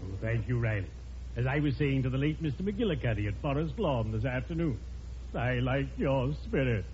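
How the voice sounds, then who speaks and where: An actor playing an unctuous, morbidly cheerful undertaker in an old radio comedy, droll and lugubrious. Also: male voice, mid-range voice, expressive, brisk, lo-fi sound, lightly acted. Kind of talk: 1940s radio comedy acting. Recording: very noisy old radio broadcast, loud audience.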